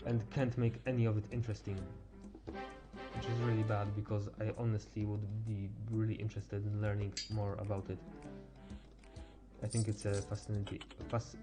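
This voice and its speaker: A teenage male game streamer thinking aloud in casual live chat, halting and stumbling over words as he goes. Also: lowish voice, flat delivery, music in the background. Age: teens.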